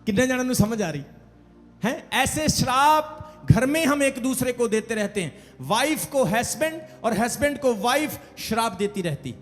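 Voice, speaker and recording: Male preacher, very high voice, Punjabi accent, emphatic repetition, calls for responses, long hall reverb. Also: expressive, measured pace. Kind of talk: sermon to a congregation. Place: large church hall, preaching from pulpit.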